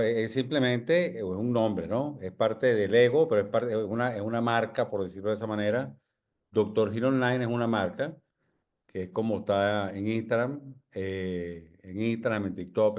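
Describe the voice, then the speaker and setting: A man in his sixties with a Venezuelan accent, a physician answering interview questions in a measured, humble way, with many pauses and tag questions. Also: medium pitch, darkish tone. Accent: Venezuelan Spanish. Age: 60s. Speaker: physician guest.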